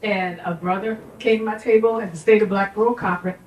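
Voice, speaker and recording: A woman's voice: medium pitch, fairly even intonation, fairly quick, dull audio. A middle-aged American woman presents to a live audience in an emphatic, instructive way. She is picked up from a distance in a roomy space, with faint noise around her. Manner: emphatic, instructive, checks in with listeners. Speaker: speaker, sociology professor giving a presentation. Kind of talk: informal public talk to a community audience.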